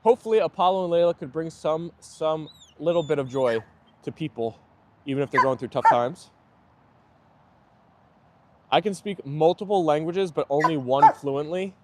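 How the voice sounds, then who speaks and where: Man, friendly stream host, slightly high voice, loose chatty delivery outside. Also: normal speed, pauses often, heavy background noise. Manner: friendly, chatty, thanks viewers often. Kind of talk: casual live chat reading viewer comments.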